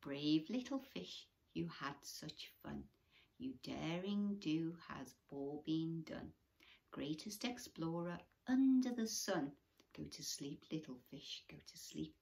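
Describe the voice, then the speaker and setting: A woman voicing a huge gentle humpback whale in a storybook, chanting a soothing, half-whispered lullaby. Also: low voice, expressive, takes it slowly, gravelly, heavily acted. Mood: tender, lulling.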